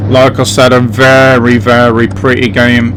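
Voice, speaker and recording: A man's voice: medium pitch, monotone, unhurried, noisy background. A man with an English accent gives casual, chatty gameplay commentary, rambling along and speaking directly to his viewers. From England. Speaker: gaming video host. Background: driving game vehicle sounds.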